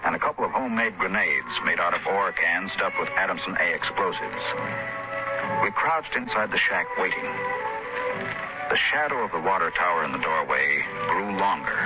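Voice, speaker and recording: A man's voice: deep voice, lively intonation. A man with an American accent narrating a 1950s radio drama as someone soberly recalling his frontier childhood. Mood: reflective. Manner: measured, reflective storytelling. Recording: muffled 1950s radio recording. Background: prominent dramatic music.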